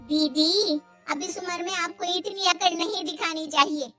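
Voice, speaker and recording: A woman's voice: very high-pitched, fairly even intonation, a little slow, music in the background. A woman playing a taunting young sister-in-law in a cartoon, mock-sweet and needling. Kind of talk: Hindi cartoon dialogue.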